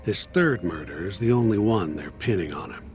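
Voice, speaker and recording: A man acting as a brisk, pragmatic, unsentimental defense lawyer in a video game, speaking bluntly and colloquially. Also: low-pitched, animated, darkish tone, faint music behind. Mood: matter-of-fact. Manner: blunt, colloquial, matter-of-fact. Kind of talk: English game dub dialogue.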